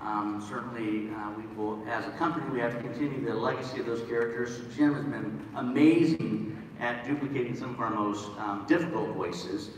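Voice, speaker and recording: A man's voice: mid-range voice, fairly quick. A man hosting a live fan panel informally, recorded from the audience in a large convention hall. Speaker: panel host. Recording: echoey noisy convention hall from far off.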